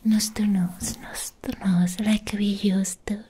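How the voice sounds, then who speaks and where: Woman playing a slow, whispering, obsessive vampire ex-girlfriend. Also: mid-range voice, little pitch movement, dark tone. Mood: soothing, adoring. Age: young adult. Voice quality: breathy whisper. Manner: slow, lingering, intimate, cooing. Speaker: ASMR roleplay performer. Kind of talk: soft-spoken whispered ASMR roleplay. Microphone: close mic, intimate.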